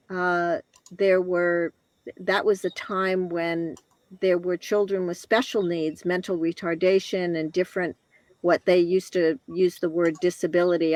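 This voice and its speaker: Older American female interview guest, halting personal storytelling, very leisurely pace, dry close sound. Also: lowish voice, dry recording. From Connecticut, northeastern United States. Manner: reflective, halting, pausing between short phrases. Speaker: guest telling own life story.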